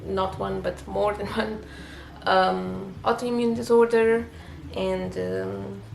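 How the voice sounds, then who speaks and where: Portuguese-accented woman, gravelly and roomy. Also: mid-range voice, flat delivery, slow, darkish tone, slight background noise. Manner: hesitant, many fillers and restarts. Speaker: booktuber. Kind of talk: casual vlog chat. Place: home.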